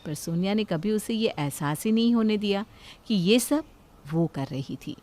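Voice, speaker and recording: A woman's voice: medium pitch, expressive, normal speed, mellow. A woman reading scripted narration for a moral story.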